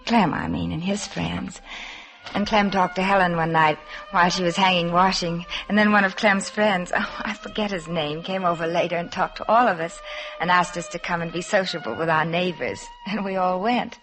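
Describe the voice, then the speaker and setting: An actress playing a shy, wistful young woman recalling a summer in a halting, artless way in a 1950s radio drama. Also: lowish voice, fairly even intonation, rough recording. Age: young adult. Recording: 1950s radio broadcast, soft music behind.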